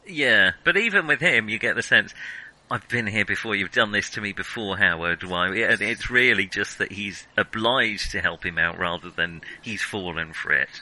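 A man's voice: lowish voice, normal speed, bright, forceful tone, poor audio. A man co-hosting a film podcast, giving opinionated, analytical and wry views in casual discussion.

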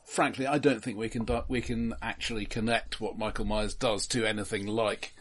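A man co-hosting a film podcast, talking casually and analytically in a halting way. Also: lowish voice, steady tone, fairly bright tone.

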